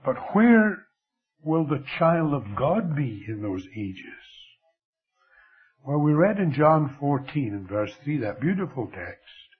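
Older scottish guy preaching slow, voice rising and falling a lot, tinny radio sound. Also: on the high side, husky, soft background music. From Scotland. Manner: slow, lively delivery with dramatic drops to near whisper. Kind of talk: sermon. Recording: thin phone-like radio broadcast.

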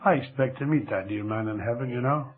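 An older man with a Scottish accent preaching a sermon, slow and expressive, letting his voice fall very quiet at the ends of phrases. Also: mid-range voice, soft background music. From Scotland. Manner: slow, lively delivery with dramatic drops to near whisper. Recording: thin phone-like radio broadcast.

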